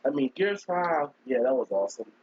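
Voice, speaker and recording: A man with a hoarse voice from a cold, husky and rough at the edges. He rambles through a casual live-stream chat and keeps stopping to clear his throat. The sound is dull and lo-fi. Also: a little high, sing-song, brisk. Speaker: gaming streamer.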